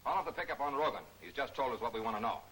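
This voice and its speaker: An actor as a bright-voiced, brisk police detective. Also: male voice, medium pitch, lively intonation, close to an everyday voice, poor audio. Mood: businesslike. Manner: businesslike, clipped. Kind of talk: 1940s movie serial acting. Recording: old film soundtrack with faint hiss.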